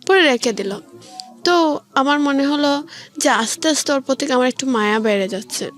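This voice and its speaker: Female interview guest: high-pitched, halting delivery, recounting personal experience. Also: fairly bright tone, faint music behind. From Sylhet region, Bangladesh. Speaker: guest telling own life story.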